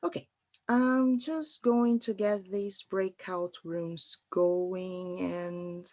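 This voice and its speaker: A woman's voice: mid-range voice, steady tone, very slow, darkish tone. A woman playing an upbeat, welcoming event coordinator in an audio drama. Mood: bubbly, welcoming. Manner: gushing, welcoming. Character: upbeat woman event coordinator hosting online speed dating.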